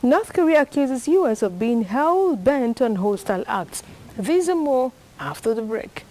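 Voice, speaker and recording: Nigerian lady newsreader, formal scripted delivery, voice a bit on the high side. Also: big pitch swings, unhurried, dark tone, rough recording. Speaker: news presenter. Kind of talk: formal scripted news reading.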